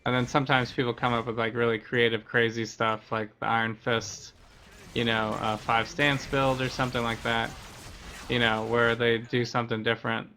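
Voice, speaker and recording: A man talks through a game for his stream in a casual, explanatory way. His voice has a bright, crisp edge. The intonation stays fairly level as he chats. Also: medium pitch, flat delivery, normal speed, slight background noise. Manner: explanatory, conversational. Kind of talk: casual gameplay commentary.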